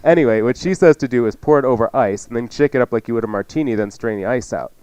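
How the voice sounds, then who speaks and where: Fast-talking, joking male cooking host. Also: medium pitch, darkish tone, clean tone, dry recording. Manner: chatty, joking. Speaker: cooking show host and chef. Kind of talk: casual cooking demonstration chat.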